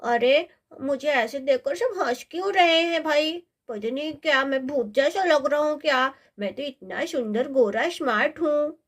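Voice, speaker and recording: A woman's voice: sits high, normal speed, hardly any pauses, close to an everyday voice. A woman voicing a whiny, indignant young boy in a children's cartoon story. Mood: puzzled. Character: indignant young boy, sleepy whining brother.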